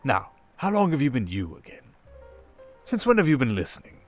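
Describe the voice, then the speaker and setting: A man playing a suave, manipulative criminal mastermind in an intimate ASMR roleplay, with a coaxing, condescending, theatrical delivery full of drawn-out pauses. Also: medium pitch, huge pitch swings. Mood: probing, smug. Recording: music behind the voice. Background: music.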